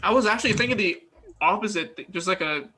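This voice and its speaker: A man in his twenties, a guest on a casual chat show, talking in a soft-spoken, laid-back way. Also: high voice, lively intonation, fast talker. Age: 20s. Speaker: podcast guest.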